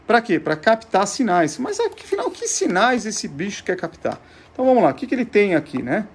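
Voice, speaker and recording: A man's voice: high-pitched, sing-song, a little fast, husky. A man with a Brazilian Portuguese accent presenting in an informal, didactic way, often asking himself questions and then answering them. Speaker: presenter of a show on vintage gadgets. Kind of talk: informal explanatory demonstration.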